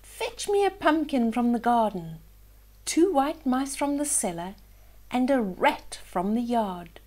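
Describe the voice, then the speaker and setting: A woman voicing a kindly, reassuring fairy godmother in a children's storybook, speaking gently and deliberately. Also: fairly high pitch, sing-song. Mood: instructing. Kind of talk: children's storybook read-aloud.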